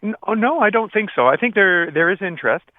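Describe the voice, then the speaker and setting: A phone-like, narrow line carries an older man with a slightly high voice. He is plain-spoken and halting, rewording his sentences as he explains things to an interviewer, with little room sound. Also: lively intonation, dry recording. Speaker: retired air traffic controller, interview guest. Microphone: phone call.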